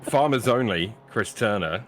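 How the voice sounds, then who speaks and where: A man commentating a live sim race with relaxed, self-deprecating banter. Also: on the low side, measured pace, heavy background noise.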